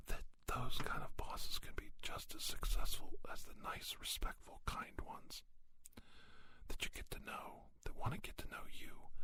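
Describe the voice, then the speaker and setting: A middle-aged man hosting an ASMR podcast, giving a reflective monologue slowly and deliberately, repeating phrases for emphasis. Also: fairly high pitch, sharp tone. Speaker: podcast host.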